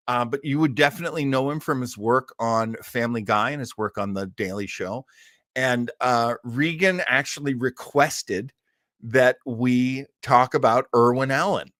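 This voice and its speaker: A man's voice: mid-range voice, fairly bright tone, no room sound, studio quality. A man hosting a casual internet livestream, chatting and announcing things in a rambling, jokey way. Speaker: host of an internet show. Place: small garage office at home.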